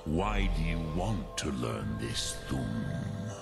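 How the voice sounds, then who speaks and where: An ancient dragon, speaks with an extremely deep rumble. The delivery is very slow, solemn and patient, like a grave old philosopher in a fantasy game. Loud music plays behind the voice. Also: male voice, big pitch swings, creaky, exaggerated voice. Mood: grave, musing. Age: older. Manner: slow, ponderous, solemn, peppered with archaic foreign words. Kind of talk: fantasy video game acting.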